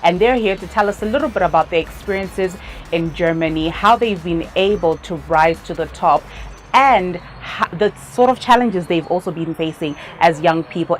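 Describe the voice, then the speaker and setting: A woman from Zimbabwe hosting a youth talk show, interviewing in a lively, encouraging way and laughing easily. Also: lowish voice, moderate pace, faint music behind. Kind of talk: casual interview. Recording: faint background noise.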